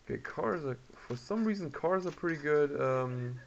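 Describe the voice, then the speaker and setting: Guy muttering thru his gameplay, pitch jumps all over, dull lo-fi mic w some noise. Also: animated, normal speed, gravelly. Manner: reacts aloud, muttering to himself. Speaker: gamer. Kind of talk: casual gameplay commentary. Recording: muffled lo-fi mic, background noise.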